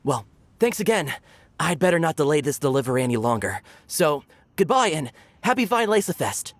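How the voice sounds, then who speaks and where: A man's voice: on the high side, sing-song, normal speed. A man playing a friendly, easygoing delivery worker in a video game. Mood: relieved, grateful.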